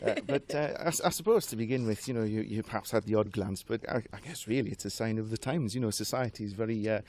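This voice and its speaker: A man's voice: on the low side, quick pace, a little mellow. A man chatting as a radio interview guest, jovial and anecdotal, with genteel, jokey, old-fashioned turns of phrase.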